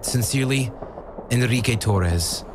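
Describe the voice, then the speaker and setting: A man's voice: mid-range voice, measured pace, close to an everyday voice. Man playing a grave, anxious journalist, noisy backdrop. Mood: grave, worried. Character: anxious male journalist reading his warning letter. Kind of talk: scripted game voice-over of a letter.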